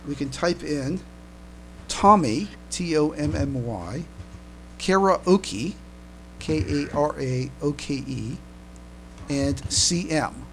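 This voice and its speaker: Halting higher-pitched man, noisy recording. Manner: halting, repeats words. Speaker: podcast co-host. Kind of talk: casual podcast chat. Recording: steady background noise and hum.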